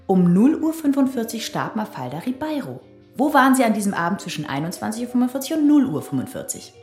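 Blunt, lively female police detective. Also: on the high side, fast talker, echoey room, soft background music. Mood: probing. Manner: blunt, needling, dryly ironic. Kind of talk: naturalistic German radio crime drama acting.

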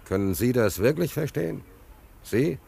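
An actor playing a talkative, wry, soft-hearted homeless angler in a German radio play, colloquial and repeating himself. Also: male voice, mid-range voice, lively intonation, moderate pace, gravelly, husky, full character voice. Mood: chatty, wry.

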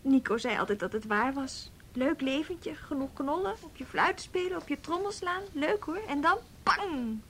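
Wistful, cheeky young woman, high-voiced. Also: expressive, normal speed, few pauses. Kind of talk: naturalistic radio drama dialogue. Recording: noisy radio play recording with rumble.

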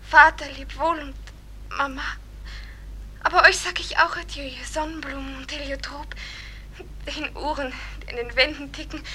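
A woman playing a dead young woman in a radio drama, emotional and halting, grieving for earthly life. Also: high-pitched, pushed and bright, slight background noise, heavily acted. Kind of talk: theatrical radio drama acting.

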